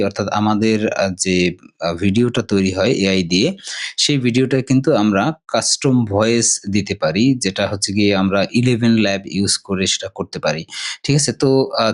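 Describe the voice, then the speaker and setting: A man hosting a casual software tutorial, explaining step by step and often checking in with short tag questions. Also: lowish voice. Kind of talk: casual screen-share tutorial.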